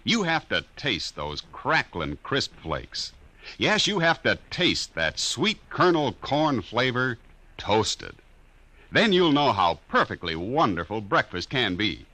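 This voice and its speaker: A man's voice: sing-song, slow, no echo. A man reading an old-time radio ad and credits in a hearty, emphatic way. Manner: hearty, emphatic sales pitch. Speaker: radio announcer. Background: loud music.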